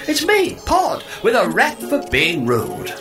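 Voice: mid-range voice, wildly swooping pitch, slow pace, over music. A performer playing a jaunty, cocky robot sidekick in an audio comedy, with breezy, slangy patter. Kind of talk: audio comedy drama acting.

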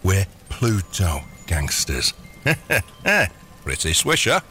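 A man's voice: low-pitched, wildly swooping pitch, drawn-out words, sharp tone, slight background noise, heavily acted. An actor playing a bumbling, evasive middle-aged father turned crime boss in an audio comedy, stammering and hesitating as he tries to placate. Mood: flustered, evasive. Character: bumbling, evasive long-absent dad turned gangster boss. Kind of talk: audio comedy drama acting.

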